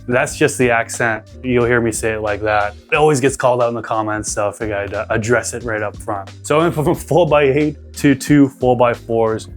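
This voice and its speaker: A man with a Boston accent talks at an ordinary mid pitch while he walks through his grow tent gear. He explains things step by step in an easygoing, conversational way. Soft music plays faintly behind him. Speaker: grow channel host. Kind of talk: casual equipment walkthrough.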